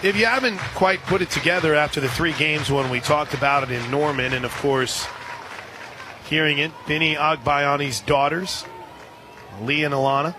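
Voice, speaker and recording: Higher-voiced male softball announcer over crowd noise. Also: moderate pace. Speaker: radio play-by-play announcer. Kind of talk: live softball play-by-play commentary with casual banter. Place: outdoor softball stadium broadcast position. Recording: outdoor stadium crowd and ambient noise.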